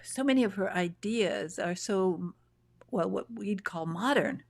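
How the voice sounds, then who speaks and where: A woman's voice: mid-range voice, lively intonation, measured pace, vocal fry, dry recording, clean studio sound. A woman host, living in Texas, chatting and reminiscing effusively while she interviews an author. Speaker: show host. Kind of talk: casual interview chat. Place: home in Texas. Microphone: video call.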